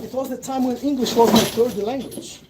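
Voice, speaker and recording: A Brazilian man talks in English with a clear Brazilian Portuguese accent, in a loose, meandering livestream chat. He often stops to correct himself and picks up his thread again. Loud background noise runs under his voice throughout. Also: very high voice, fairly even intonation, brisk. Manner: rambling, self-correcting, conversational. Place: at work, sorting bags.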